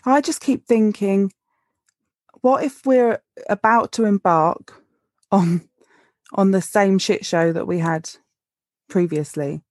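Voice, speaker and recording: Female co-host, 30s, candid and wry, middle pitch, dry close recording. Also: slow pace, pauses often, a little mellow, no room sound. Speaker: podcast co-host. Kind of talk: casual heartfelt conversation.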